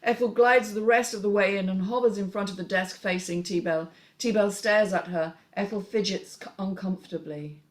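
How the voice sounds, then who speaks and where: A woman's voice: medium pitch, fairly even intonation, normal speed, hardly any pauses, low-quality recording. A woman narrating a screenplay, reading out scene headings and stage directions as scripted narration.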